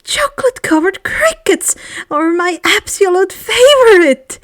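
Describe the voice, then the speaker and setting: A woman voicing a dreamy, gentle, happy young girl from a fantasy story, with a whimsical and delighted manner. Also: very high voice, big pitch swings, a little slow, pitched above the performer's own voice, full character voice.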